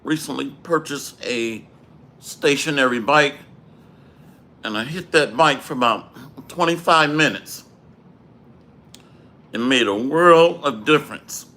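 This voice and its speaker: A man teaching a livestreamed Bible lesson with a dry throat, clearing it often and preaching in a measured way. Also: mid-range voice, pushed and bright. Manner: slow, measured preaching with Hebrew phrases. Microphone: livestream microphone.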